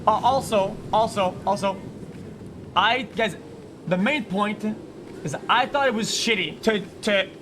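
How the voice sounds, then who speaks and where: High-voiced man ranting argumentatively. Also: a little slow, background noise. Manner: argumentative, repetitive, swearing. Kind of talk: heated casual rant.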